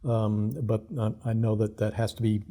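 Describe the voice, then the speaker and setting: A man over fifty, a guest expert, answering in a measured, halting way with many restarts. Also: fairly low pitch, monotone, warm tone. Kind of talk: studio discussion.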